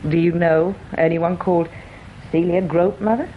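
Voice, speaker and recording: A woman's voice: fairly low pitch, steady tone, normal speed, close to an everyday voice. Actress as a haughty Englishwoman, clipped and cool. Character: cool, haughty married woman, sharp-tongued and defensive. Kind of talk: British radio drama acting. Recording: dull radio drama recording with hiss.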